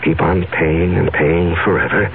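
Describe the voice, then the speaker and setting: A man's voice: low-pitched, steady tone, normal speed, creaky. An actor playing an anguished, agitated businessman trapped by a blackmailer in a 1970s radio drama. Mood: grimly agitated. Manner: agitated, emphatic. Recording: narrow, tinny radio recording with hum.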